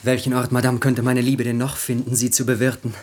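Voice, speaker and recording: An actor playing a courtly, smooth-talking count in a 1960s German radio play, ardent and polished. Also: male voice, medium pitch, monotone, a little fast, a little mellow, close to an everyday voice. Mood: ardent pleading.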